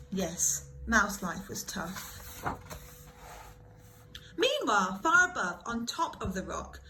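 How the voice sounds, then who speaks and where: A woman reading a children's story aloud in an expressive, dramatic way, like a primary school teacher. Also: a little high, a little hiss or hum, low-quality recording. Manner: animated, dramatic emphasis.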